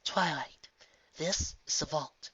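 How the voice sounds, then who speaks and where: A narrator voicing a calm, regal female pony princess and mentor in a fantasy story, speaking in a measured, hushed way. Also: medium pitch, breathy edge, no echo, muffled recording, voice pushed up, exaggerated voice. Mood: grave.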